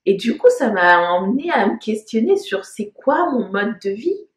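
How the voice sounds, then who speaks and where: A woman's voice: on the high side, sing-song, moderate pace. A woman in her thirties, originally from Congo, talking in a casual personal vlog, reflective and hesitant with many fillers. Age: 30s. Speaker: vlogger. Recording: echoey room.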